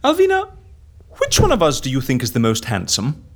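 Vain, excitable agency schemer for comedy audio drama: pompous, theatrical, hugely animated pitch. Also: male voice, a little fast. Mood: indignant vanity. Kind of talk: audio comedy fiction acting.

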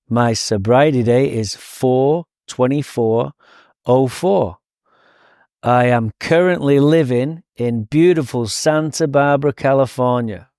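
A man's voice: medium pitch, darkish tone, no room sound. Mancunian man, dry close sound. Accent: Mancunian English. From Manchester, England. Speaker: podcast guest. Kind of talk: casual interview talk.

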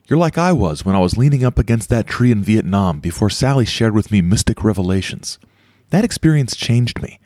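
A man's voice: fairly low pitch, dark tone, no echo. An actor playing an earnest male spiritual guru, a Vietnam veteran, preaching solemnly to recruits in an audio play. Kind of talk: earnest speech to followers.

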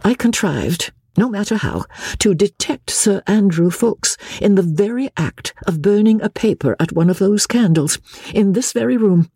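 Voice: mid-range voice, animated, moderate pace, dark tone, slightly rough, no room sound, studio quality, voice pushed up. A reader voicing a proud, tired young noblewoman who sounds strained and pleading, raised above the narrating voice. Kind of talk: audiobook dialogue.

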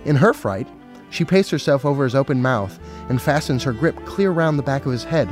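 A man's voice: medium pitch, expressive, fairly quick. A man reading a scripted story as a narrator. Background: violin music.

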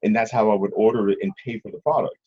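A middle-aged man telling stories casually as an interview guest, husky, rambling and often checking in with the listener. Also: fairly low pitch, steady tone, quick pace, dark tone. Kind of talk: casual conversational storytelling. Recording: slightly dull, lo-fi audio.

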